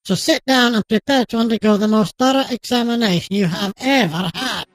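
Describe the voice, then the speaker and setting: A woman acting a stern, sharp-tongued doctor in a radio drama, with a commanding, no-nonsense manner. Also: fairly high pitch, brisk, close to an everyday voice.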